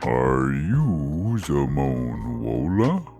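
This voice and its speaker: A very deep, gravelly creature speaks in a fantasy audio story. The words come extremely slowly and drawn out, with a dark tone. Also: male voice, sing-song, soft background music, heavily acted. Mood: slow, ominous. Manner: slow, drawn-out questioning. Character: slow, low-voiced being speaking from the cafe floor.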